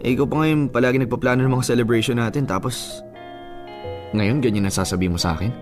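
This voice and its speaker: A man's voice: mid-range voice, fast talker, close to an everyday voice. Man acting a jealous boyfriend over background music. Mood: hurt, suspicious. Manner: insistent, hurt, questioning. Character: jealous, possessive boyfriend, pleading with his girlfriend. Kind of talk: Filipino radio drama acting. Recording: music bed under the voice.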